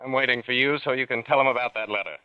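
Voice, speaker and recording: A man's voice: mid-range voice, very fast, clean tone, dry recording, close to an everyday voice. An actor playing a haunted, paranoid man who is tense and defensive, in old-time radio suspense style. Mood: desperate. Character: haunted, paranoid man under suspicion, narrating his unraveling. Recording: muffled old radio recording with music.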